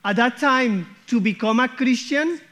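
A man's voice: very high-pitched, unhurried, sharp tone. A man, sharing his church testimony as a guest missionary in an earnest way that often checks in with "okay?" and "hmm?". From Colombia.

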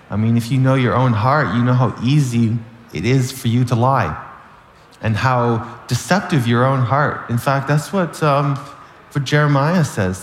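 A man with a raspy, hoarse voice from a cold, earnestly teaching a sermon, often restarting phrases and asking "right?". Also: mid-range voice, roomy sound, big-room sound, a little hiss or hum. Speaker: preacher. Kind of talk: sermon-style Bible teaching.

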